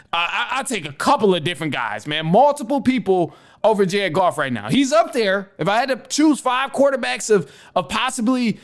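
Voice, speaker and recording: A man with a high-pitched voice hosts a sports show. He talks casually and with strong opinions, using slang and repeating phrases to drive points home. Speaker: sports podcast host.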